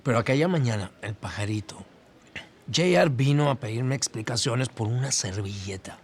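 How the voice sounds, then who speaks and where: A man's voice: mid-range voice, lively intonation, normal speed, slightly rough. A man playing a bemused cleaning worker, giving hesitant, matter-of-fact testimony in an audio documentary drama. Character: bemused cleaning worker recalling a strange morning. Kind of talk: casual acted documentary testimony.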